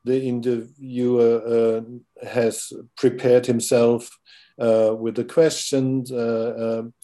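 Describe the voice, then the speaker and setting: A man in his sixties with a German accent, a podcast guest in an interview, speaking haltingly with many fillers as he searches for words. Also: medium pitch, little pitch movement, warm tone. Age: 60s. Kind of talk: interview conversation.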